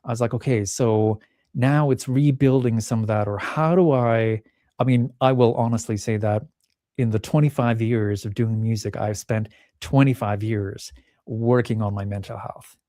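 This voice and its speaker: A man's voice: medium pitch, fairly even intonation, normal speed, mellow, dry recording, studio quality. A middle-aged man from the Czech Republic speaking as a podcast guest, thoughtful and candid, often restarting phrases and acting out remembered lines. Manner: thoughtful, many restarts, acts out remembered quotes. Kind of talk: reflective interview talk.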